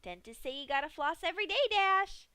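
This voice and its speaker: A woman's voice: very high voice, animated, fairly quick, sharp tone, no room sound, voice pushed up, heavily acted. A woman voicing a manic, cheerfully cruel female pink pony in a fan story reading, bubbly and singsong. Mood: coaxing. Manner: bubbly, singsong, punning playfully.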